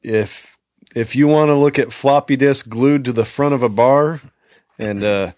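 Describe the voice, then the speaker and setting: A man's voice: mid-range voice, a little slow, no room sound, studio quality. A man hosting a casual podcast, reading news items aloud and adding his own asides. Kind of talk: casual chat.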